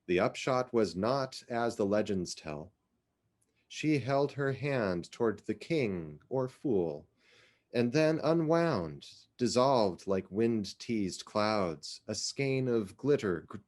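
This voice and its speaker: A man reads poetry in a fairly low voice. His delivery is very slow and deliberate, with theatrical phrasing that gives each image its own space. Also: animated, a little mellow. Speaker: literary reader. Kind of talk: dramatic poetry reading.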